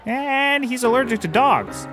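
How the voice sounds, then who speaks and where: Hapless private eye for audio comedy, male actor: high, very animated, oblivious, earnest rambler, music behind. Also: a little slow. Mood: eager, rambling. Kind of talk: scripted audio comedy acting.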